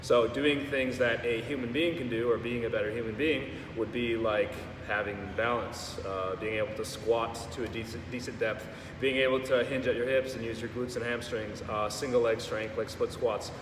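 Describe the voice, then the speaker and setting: A man's voice: medium pitch, moderate pace, hardly any pauses, background noise. A young man, a strength coach, talking loosely and joking in a casual interview. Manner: relaxed, joking, explains in long loose runs. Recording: echoey room, mic far off.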